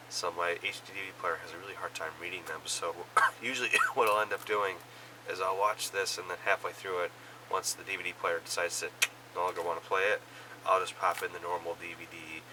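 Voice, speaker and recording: A man with a cold, hoarse and coughing, casually rambling through a recap of the films and shows he watched. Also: sits low, distant mic, background noise. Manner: rambling, many fillers and restarts. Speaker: vlogger reviewing films and shows.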